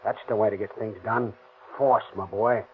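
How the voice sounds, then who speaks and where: A man's voice: lowish voice, steady tone, moderate pace, slight background noise, lightly acted. Man acting a cocky 1930s radio gangster, tinny recording. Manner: slangy, tough, swaggering talk. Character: cocky, brash gangster burglar, impatient and forceful.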